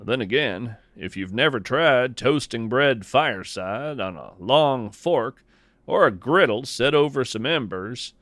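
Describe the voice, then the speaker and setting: A man playing a whimsical, gentle naturalist host, musing in a folksy, wry way through a scripted podcast monologue. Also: medium pitch, expressive, a little slow, no echo. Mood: reflective, cozy. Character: whimsical, gentle naturalist host chatting over breakfast at home.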